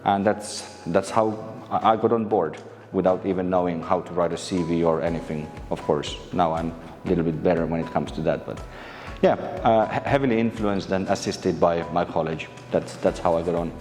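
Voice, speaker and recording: A man in his thirties from Finland, a maritime lecturer answering interview questions in a relaxed way, with frequent fillers and restarts. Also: low voice, moderate pace, in a large hall, faint music behind. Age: 30s. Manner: hesitant, many fillers and restarts.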